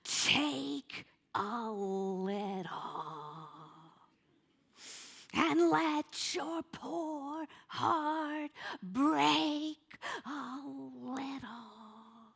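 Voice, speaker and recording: Woman putting on a gravelly old lady singing. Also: high-pitched, drawn-out words, long pauses, fairly bright tone, heavily acted. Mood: tender, heartfelt. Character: frail old woman singing her solo slowly. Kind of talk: slow, drawn-out stage singing.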